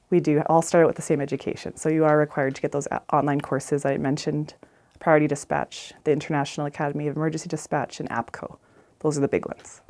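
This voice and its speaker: A woman's voice: low voice, a little mellow. A middle-aged woman with a Canadian accent giving an informal, plain-spoken career talk as an emergency dispatcher.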